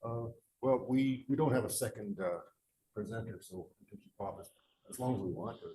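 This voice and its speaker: A man's voice: lowish voice, fairly quick, warm tone. Male moderator, joking and conversational, distant roomy sound with faint noise.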